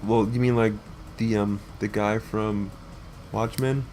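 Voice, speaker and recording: Low-voiced man playing and commenting, noisy audio. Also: fairly even intonation, slow pace, a little mellow. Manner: terse, crude joking. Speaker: let's play player and commentator. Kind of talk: casual game commentary chat. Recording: noticeable background noise.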